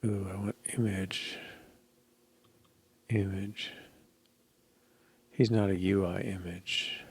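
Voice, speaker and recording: Slow, creaky man thinking aloud. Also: low voice, flat delivery. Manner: musing, halting, muttering to himself. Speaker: programmer live-coding. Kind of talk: casual think-aloud coding commentary. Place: indoor room at a desk.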